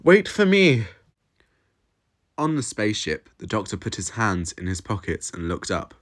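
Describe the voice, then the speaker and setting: A man's voice: low-pitched, lively intonation, moderate pace, fairly bright tone. A man reading scripted narration for an audio story. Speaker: audiobook reader.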